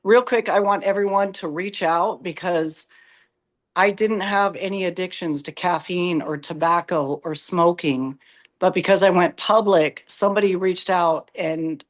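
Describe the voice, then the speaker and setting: A co-host with a raspy voice chiming in candidly during a coaching webinar. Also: medium pitch. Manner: candid, teasing asides. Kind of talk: casual chat in a webinar.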